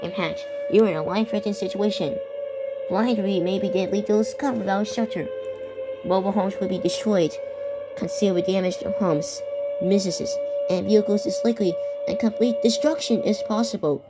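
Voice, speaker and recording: A woman's voice: mid-range voice, brisk, poor audio. A young woman narrating a made-up emergency scenario and reading out warnings, stumbling over and repeating words. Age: teenager or young adult. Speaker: amateur video creator narrating a fictional emergency alert scenario. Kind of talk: improvised narration mixed with read-out weather warnings. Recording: loud music behind the voice. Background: loud music.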